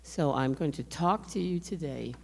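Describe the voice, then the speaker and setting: An older woman giving a public lecture in a measured, conversational way, speaking to listeners directly while straining to keep her voice. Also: sits low, creaky, a little mellow. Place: lecture hall. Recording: lecture hall reverb.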